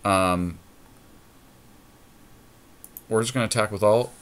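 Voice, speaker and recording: A man co-hosting a gaming podcast, talking casually and deliberately as he works out strategy aloud during a card game. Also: fairly low pitch, smooth. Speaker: podcast co-host commentating on own card game play.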